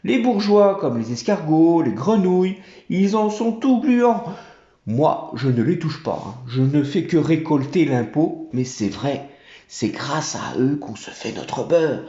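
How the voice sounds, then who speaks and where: A man's voice: a little high, big pitch swings, unhurried, mellow. A man voicing a fawning, chattering male monkey general who collects taxes. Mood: boastful. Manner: obsequious, chattering. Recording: roomy, dull home recording.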